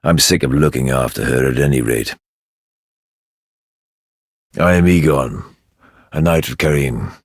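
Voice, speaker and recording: Extremely deep, sneering knight. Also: male voice, no echo, clean studio sound, full character voice. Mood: grudging disdain. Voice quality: very deep, gravelly. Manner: sneering, condescending, drawling. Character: surly, contemptuous, menacing knight guarding a girl. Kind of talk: dark fantasy game character acting.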